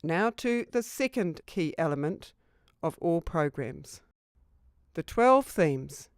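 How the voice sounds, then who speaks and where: A woman's voice: medium pitch, clear, resonant tone, dry recording, studio quality. A woman reading a scripted instructional narration for a training video.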